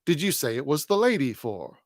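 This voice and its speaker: Man doing a shrewd jovial detective, loud and coaxing, gravelly, dry. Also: on the high side, very animated, brisk, no echo, pitched above the performer's own voice. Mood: hearty.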